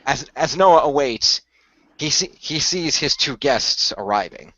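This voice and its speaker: A man's voice: a little high, lively intonation, a little slow, sharp tone, dry recording, dull audio. A man narrating a radio play from a script, often stumbling and restarting as he reads. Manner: stumbles and restarts while reading.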